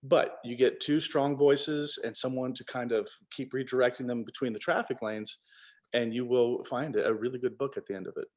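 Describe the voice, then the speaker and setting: A man's voice: mid-range voice, steady tone, normal speed, a little mellow. A man co-author talking casually and wryly as a guest in an online interview. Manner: wry, jokey. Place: online video call.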